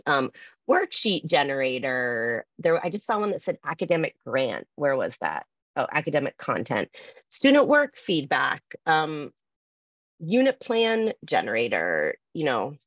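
A woman's voice: sits low, no room sound. A woman giving an informal online workshop, chatty and self-deprecating, thinking aloud as she goes. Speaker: librarian presenting a workshop. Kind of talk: informal online presentation with asides. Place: indoor room, on a video call. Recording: dull, roomless video-call audio.

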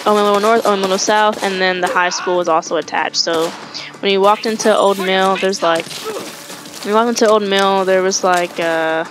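A woman's voice: medium pitch, steady tone, moderate pace. Rambling young woman gamer, swearing. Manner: rambling, swears, self-correcting. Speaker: gaming streamer. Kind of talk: casual storytelling over gameplay. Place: home room, webcam. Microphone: headset.

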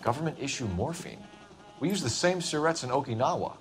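An actor playing an earnest, upright young 1940s American detective in a video game, speaking in a measured, formal way. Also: male voice, medium pitch, very animated, slight background noise. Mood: modest, businesslike. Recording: loud music behind the voice.

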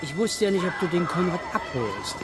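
A woman's voice: on the low side, very animated, brisk. A woman playing a prim, anxious and disapproving father in a radio play. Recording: noisy outdoor scene with loud crowd.

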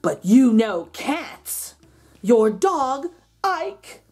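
A woman's voice: on the high side, sing-song, very slow, a little mellow, slightly rough, full character voice. A woman voicing an indignant, self-pitying pet dog in a children's storybook, pleading with dramatic emphasis. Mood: defensive scorn. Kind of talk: children's storybook read-aloud.

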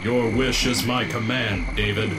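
A man's voice: on the low side, steady tone, measured pace, close to an everyday voice. A man voicing an earnest, dutiful companion to a superhero in a comic book dub. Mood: urgent, dutiful. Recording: loud music behind the voice.

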